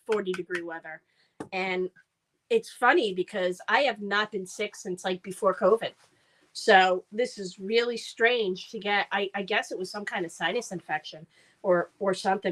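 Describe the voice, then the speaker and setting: A woman's voice: medium pitch, fairly bright tone. A woman chatting casually on a live stream while making herbal oil products, rambling with frequent ums, congested from a cold. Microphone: streaming camera mic.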